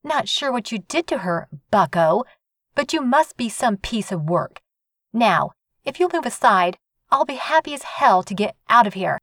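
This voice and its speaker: A man's voice: high-pitched, very animated, normal speed, a bit bright, no echo, clean studio sound, pitched above the performer's own voice, exaggerated voice. A man doing a sarcastic, scolding young woman. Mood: indignant. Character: brash sharp-tongued young woman neighbor. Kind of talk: audiobook reading.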